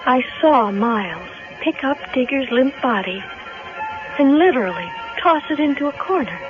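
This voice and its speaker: An actress playing a frightened young captive woman in a 1970s radio drama, halting and dramatic in delivery. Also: high-pitched, lively intonation. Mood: dazed, haunted. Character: frightened, drugged young woman held captive, narrating her nightmare. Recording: muffled vintage radio recording with music.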